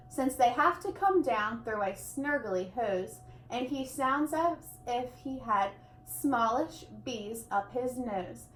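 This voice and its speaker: A woman teacher reading a rhyming children's storybook aloud with expressive, deliberate phrasing. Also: a little high. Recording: echoey room with faint background noise.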